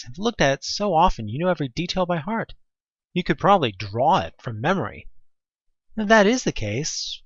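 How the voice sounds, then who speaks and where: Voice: mid-range voice, a bit bright, no echo, lo-fi sound. A narrator reading a second-person horror audio drama expressively, with dramatic delivery and conversational asides.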